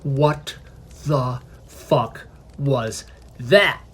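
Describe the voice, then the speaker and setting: A narrator voicing an angry, foul-mouthed little male owl familiar who rants and shouts. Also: medium pitch, drawn-out words, breathy edge, full character voice. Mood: furious. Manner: shouting, ranting.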